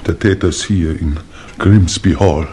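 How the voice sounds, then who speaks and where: An actor playing a distraught, easily flustered English lord in a theatrical, genteel 1950s German radio play manner. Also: male voice, deep voice, unhurried, a little mellow, a little hiss or hum. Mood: anguished, grateful.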